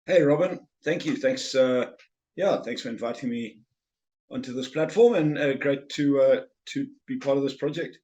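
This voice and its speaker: A man's voice: medium pitch, normal speed, darkish tone, lo-fi sound. A middle-aged man with a Zimbabwean accent, talking casually as an interview guest and often repeating words as he starts a thought. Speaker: guest, sales trainer and speaker.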